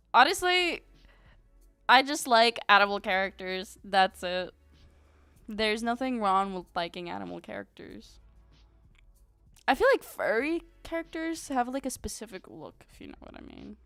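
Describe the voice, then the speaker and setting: A woman's voice: mid-range voice, very animated, moderate pace, long pauses, a bit bright, smooth, no room sound, faint music behind. A young woman streaming from home, chatting casually with viewers in a bubbly, chatty way. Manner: bubbly and chatty, many "like"s and giggles. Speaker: VTuber streamer.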